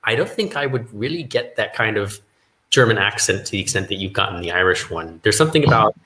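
A man's voice: fairly low pitch, animated, quick pace, bright, forceful tone. Hesitant young man on a roomy video call. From New York, USA. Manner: hesitant, repeats words while thinking. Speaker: meetup participant. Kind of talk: casual video-call chat. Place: bright room at home, indoors. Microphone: video call laptop mic. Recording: roomy echo.

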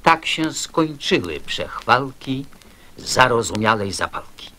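A woman narrating children's verse in a deliberate, theatrical way. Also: extremely low pitch, sing-song, pushed and bright.

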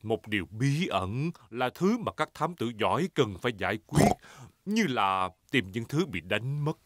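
A man's voice: mid-range voice, sing-song, unhurried, very noisy. A man voicing a jolly, patient father pig in a children's cartoon dub, playfully explaining things with a southern Vietnamese accent. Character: jolly, patient daddy pig playing along.

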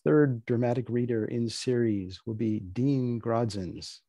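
An older man hosting an informal event over a video call, introducing things in a deliberate, dry manner. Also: mid-range voice, dark tone, no room sound. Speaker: host introducing readers. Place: indoor room on video call.

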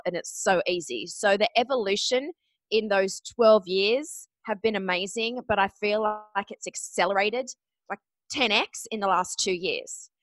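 A woman's voice: medium pitch, no room sound, low-quality recording. Bubbly Australian woman guest. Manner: bubbly, excited, upbeat. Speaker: podcast guest, media expert. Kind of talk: casual interview chat.

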